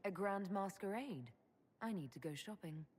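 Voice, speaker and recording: A woman playing a composed heroine and leader in a fantasy video game, speaking plainly and calmly. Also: medium pitch, moderate pace, slight background noise, close to an everyday voice. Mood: reassuring, light.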